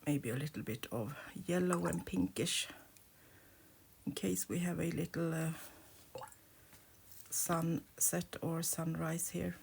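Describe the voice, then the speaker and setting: A woman with a sore throat hosting a craft tutorial, talking softly with many pauses and fillers. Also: deep voice, fairly even intonation, creaky, a little hiss or hum. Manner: soft-spoken, hesitant with many uh's, drops to murmurs while painting. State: sore throat, nearly lost voice. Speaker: crafting channel host. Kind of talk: relaxed craft tutorial voice-over.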